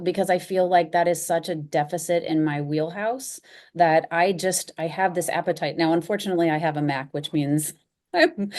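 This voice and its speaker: A woman's voice: on the low side, darkish tone. A woman speaking as an interview guest, candid and self-deprecating, laughing easily as she talks. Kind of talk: casual conversational interview.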